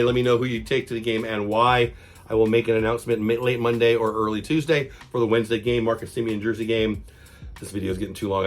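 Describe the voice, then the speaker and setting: A man with a hoarse voice from a cold, hosting a casual sports fan vlog and talking chatty and repetitive. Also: medium pitch. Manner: chatty, repeats himself, fan talk. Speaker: channel host.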